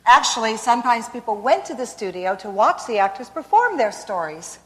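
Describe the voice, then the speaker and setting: A woman playing a coaxing mother with a high, lively voice. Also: moderate pace. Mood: explaining. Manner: explanatory, coaxing. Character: mother explaining a radio show to her daughter. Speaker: actress in a framing skit. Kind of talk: amateur stage skit acting. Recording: long reverb in a hall.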